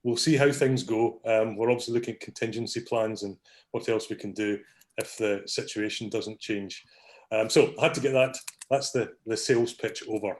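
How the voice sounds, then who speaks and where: A man's voice: fairly low pitch, fairly even intonation, moderate pace, darkish tone. A man hosting an online academic meetup on a video call, talking casually with many ums and small apologies. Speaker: meetup host. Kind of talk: casual online meeting talk.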